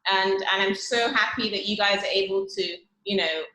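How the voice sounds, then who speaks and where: A woman opens an online event with a welcome speech, her talk sprinkled with "you know." Her voice is bright and crisp at a middle pitch. The room gives back a noticeable echo. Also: steady tone, moderate pace, slight background noise. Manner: frequent "you know" fillers. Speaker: event host.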